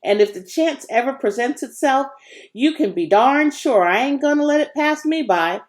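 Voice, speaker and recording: A woman's voice: high voice, animated, normal speed, voice pushed up. A woman voicing a laid-back, folksy, wisecracking boy in a children's storybook reading. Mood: jaunty, folksy.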